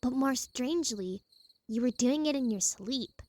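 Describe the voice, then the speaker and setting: A woman's voice: sits high, expressive, a little slow, darkish tone. A woman voicing a composed, frank young huntress in an audio drama, firm but caring. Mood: frank, concerned. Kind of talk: dramatic fan-fiction voice acting.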